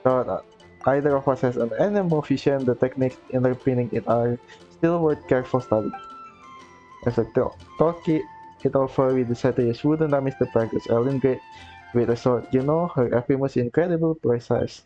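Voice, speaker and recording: A man's voice: mid-range voice, brisk, mellow, faint music behind, lightly acted. A man reading aloud the lines of a polite, earnest young female knight-maid in his own voice, with small stumbles. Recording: dull, lo-fi recording.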